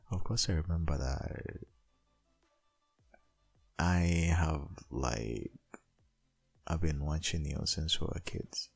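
A man playing a tender, possessive dominant boyfriend in an intimate ASMR roleplay, murmuring in a gravelly, breathy, half-whispered voice. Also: very deep voice, darkish tone, faint music behind, exaggerated voice. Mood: fond, nostalgic. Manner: slow, murmuring, lingering.

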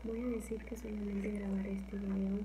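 A young Mexican woman with a Mexican accent chatting casually for her ASMR channel, rambling softly and often slipping into a whisper in a small home kitchen. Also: medium pitch, flat delivery, normal speed, noisy background. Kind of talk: casual soft-spoken chat.

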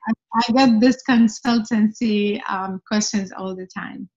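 A woman's voice: medium pitch, steady tone, unhurried, darkish tone. Earnest woman on a call. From Syria. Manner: earnest, many fillers and restarts. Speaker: guest. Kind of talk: video call interview. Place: room at home. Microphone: video call. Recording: dull lo-fi video call audio.

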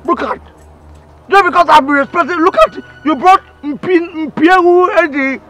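An indignant villager in a Nigerian film drama. His Igbo-accented voice is extremely high and piercing, and he stammers scornful repetitions. Music plays faintly underneath. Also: moderate pace, bright, forceful tone. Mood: taunting. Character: indignant, insulting, hot-tempered village man.

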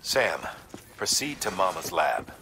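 Deep gravelly mission commander. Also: male voice, very animated, a little slow, exaggerated voice. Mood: businesslike. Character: grave, authoritative male mission commander briefing an agent. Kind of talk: cinematic video game briefing. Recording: noisy mechanical ambience behind voice.

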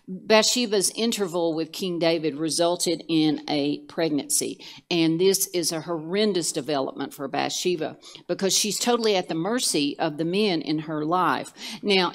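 Low gravelly older woman. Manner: storytelling, measured, with fillers. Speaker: co-host teaching scripture. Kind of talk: bible study conversation. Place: church studio set, indoors.

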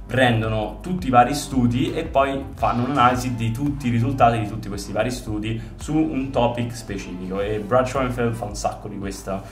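A man's voice: medium pitch, a little fast, hardly any pauses, far from the mic. A young man in his twenties, a fitness coach chatting casually to camera with lots of fillers, recorded indoors in a bedroom-like room. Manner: chatty, many fillers like "diciamo" and "giusto?". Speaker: fitness coach and video host. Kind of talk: casual explanatory vlog to camera. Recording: roomy sound from away from the mic, faint music behind.

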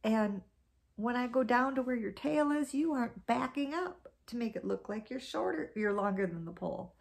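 A woman's voice: a little high, expressive, moderate pace, vocal fry, voice pushed up. A woman voicing a small, sly male spider trickster who talks in a coaxing, wheedling way.

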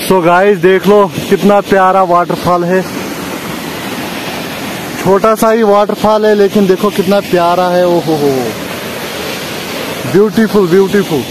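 A young man talks casually to his vlog audience. He is chatty and often repeats phrases for emphasis. The phone recording, made at arm's length outdoors, has clear background noise. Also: high voice, steady tone, a little slow, long pauses. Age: 20s. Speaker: vlogger. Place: outdoors on village roads, paths and by water. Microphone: handheld phone at arm's length.

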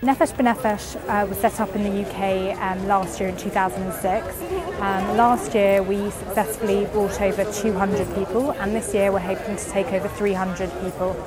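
A woman's voice: medium pitch, fairly even intonation, moderate pace, over loud music, over a noisy crowd. A woman who works for an emigration agency, speaking in an upbeat, informative way with some ums. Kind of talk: documentary interview.

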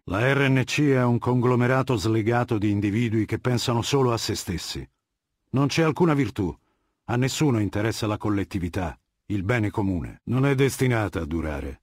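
A husky, ruthless warlord. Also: male voice, medium pitch, no echo, clean studio sound. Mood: measured, grave. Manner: imperious, measured, menacing. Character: commanding, ruthless middle-aged male warlord dictator. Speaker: video game voice actor. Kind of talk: dubbed video game dialogue.

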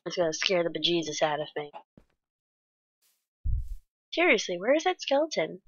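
A woman's voice: lowish voice, big pitch swings, moderate pace, fairly bright tone, husky, no echo, lo-fi sound. Congested woman chatting over a game. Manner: rambling, many ums and restarts, chatty. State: congested, stuffy nose. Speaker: Minecraft let's play creator. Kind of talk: casual gameplay commentary.

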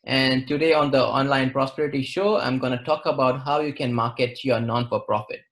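A young man from western India with an Indian accent, explaining things in a measured, matter-of-fact way as an interview guest. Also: medium pitch, fairly even intonation, fairly bright tone. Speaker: interview guest, marketing advisor. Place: plain-walled room, indoors.

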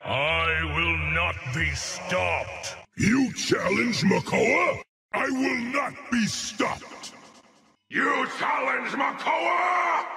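An actor playing a menacing monstrous warrior in video game battle barks, with a gravelly voice. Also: male voice, very high-pitched, exaggerated voice. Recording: noisy, echoing game audio with reverb.

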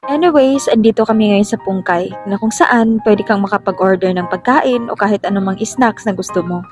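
A woman's voice: medium pitch, moderate pace. A young adult Filipino woman narrating a scripted travel vlog in a playful manner, with a Filipino accent. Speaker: vlogger, narrator. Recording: voice-over with music behind. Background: music.

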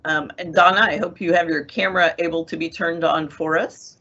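Middle-aged woman, panel moderator, bright tone, muffled call audio. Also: lowish voice, fairly even intonation, a little fast. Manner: friendly, organized introductions. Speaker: moderator, assistant dean. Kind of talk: hosting a video-call panel. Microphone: video call. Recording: dull lo-fi video-call audio.

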